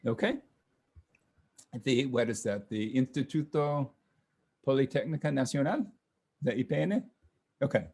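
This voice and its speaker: Man, mid pitch, casual host, video call, relaxed with fillers. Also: unhurried, pauses often, husky. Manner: relaxed, friendly, lots of fillers. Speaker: host of online class session.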